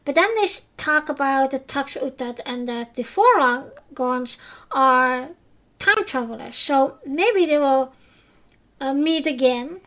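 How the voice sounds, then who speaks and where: Woman, high voice, hesitant, lots of fillers, podcast recap host. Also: lively intonation, slow pace, a bit bright. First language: Dutch. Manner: hesitant, many fillers and restarts; simple non-native phrasing. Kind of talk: casual episode recap.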